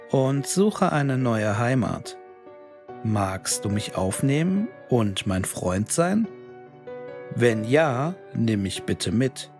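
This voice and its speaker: Male narrator as sweet, pleading little doll girl, creepypasta, unhurried, childlike. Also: medium pitch.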